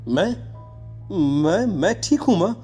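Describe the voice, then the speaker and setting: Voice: mid-range voice, very animated, takes it slowly, dark tone, slightly rough, soft background music, heavily acted. A performer voicing a timid teenage schoolboy in a horror story, fearful and hesitant as he speaks. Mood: scared.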